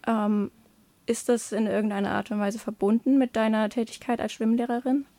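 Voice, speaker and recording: Woman interviewer with slightly creaky, even delivery. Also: on the high side, normal speed, no room sound. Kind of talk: conversational interview questions.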